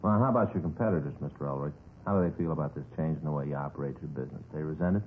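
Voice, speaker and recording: Brisk, polite police detective, very rapid delivery, vintage radio drama with a tinny sound. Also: male voice, extremely low pitch, a little hiss or hum. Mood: matter-of-fact. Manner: matter-of-fact, polite. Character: brisk, matter-of-fact male police detective. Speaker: actor in a radio crime drama. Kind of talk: understated 1950s radio acting.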